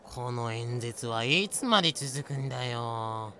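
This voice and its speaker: A man's voice: mid-range voice, animated, slow, bright, forceful tone, a little hiss or hum. A man playing a crude, griping young male captive in a comedic audio drama, talking in slang. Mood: grumbling, comic.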